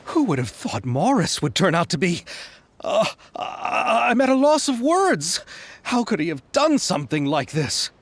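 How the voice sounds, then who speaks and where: A man's voice: high-pitched, big pitch swings, unhurried, full character voice. An actor voicing a flustered, anxious man, dismayed and unsure, speaking in hesitant exclamations in a game cutscene. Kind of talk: video game cutscene acting.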